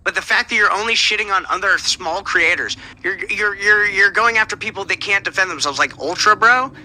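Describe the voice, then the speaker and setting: A man's voice: high voice, fairly quick, sharp tone, low-quality recording. A man hosting a livestream show, arguing heatedly and swearing a lot. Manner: heated, profane and confrontational.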